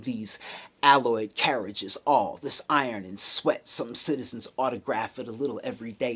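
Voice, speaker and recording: A man performing spoken word poetry with a hip-hop cadence, rhythmic and emphatic, pausing deliberately between phrases. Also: mid-range voice, fairly bright tone, slightly rough. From United States city.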